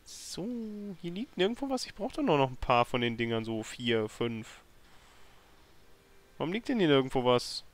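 A man with a mid-pitched voice hosts a let's play. He thinks aloud in a casual way as he plays. His commentary tends toward grumbling and dry sarcasm. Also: sing-song, moderate pace. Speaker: let's player hosting a gameplay series.

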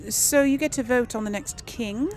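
A woman's voice: on the high side, normal speed, a little mellow. A woman streaming a role-playing game, thinking aloud in casual, chatty commentary. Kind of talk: casual let's play commentary.

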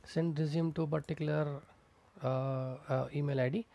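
A man's voice: a little high, fairly even intonation, moderate pace, creaky, warm tone. A man teaching programming over a screen recording, explaining step by step with fillers and repeated terms. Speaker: programming instructor. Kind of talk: screencast coding tutorial. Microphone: close mic, voice-over on screen recording.

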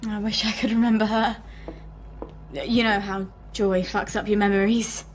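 A woman's voice: mid-range voice, little pitch movement, normal speed, faint music behind, lightly acted. An actress playing a frank, wry, wistful woman chemist in a video game, speaking intimately and sometimes whispering. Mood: pleading. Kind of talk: naturalistic video game acting.

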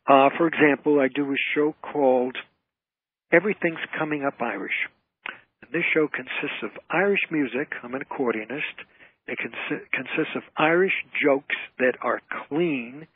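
A man's voice: mid-range voice, husky. An older man, an entertainer talking as an interview guest, emphatic and given to repeating his phrases. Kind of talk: conversational interview. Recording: thin, tinny phone line.

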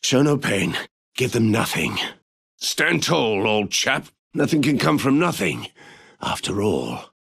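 An actor playing a stoic, gentlemanly veteran fighter in a video game. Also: male voice, medium pitch, sing-song, takes it slowly, vocal fry, husky, exaggerated voice. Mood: stoic, resolute. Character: stiff-upper-lip gentlemanly male veteran fighter.

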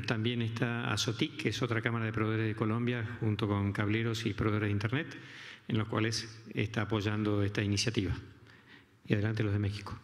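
A man's voice: lowish voice, flat delivery. A man hesitantly introducing fellow association members on a conference stage. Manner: hesitant, many "eh" pauses. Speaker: association representative. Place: large conference hall on stage. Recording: reverberant conference hall.

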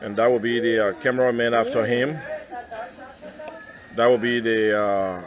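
A man's voice: medium pitch, fairly even intonation, normal speed, crowd noise. Easygoing man vlogging at a garden cafe. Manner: easygoing, repeats himself, plugs the place. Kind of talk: casual vlog narration.

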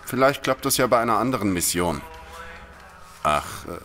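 An actor playing a gentle, resigned ailing male scientist in an audio drama. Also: fairly low pitch, lively intonation, normal speed, soft background music. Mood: resigned, subdued.

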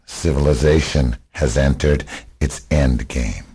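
A hard rebel leader, played by a man in a radio drama. The voice is extremely deep and husky, with solemn, grim declarations. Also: unhurried, mellow, exaggerated voice.

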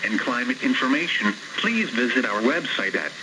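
A synthetic male announcer reads a formal, measured weather bulletin, heard through a radio speaker. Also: mid-range voice, lively intonation. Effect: played through a radio speaker. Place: radio on a shelf in a small indoor room. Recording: noisy weather radio speaker recorded in room.

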